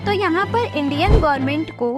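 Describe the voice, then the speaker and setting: A woman's voice: extremely high pitch, lively intonation, brisk. A woman with an Indian accent hosting an explainer video in a scripted narration style. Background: music.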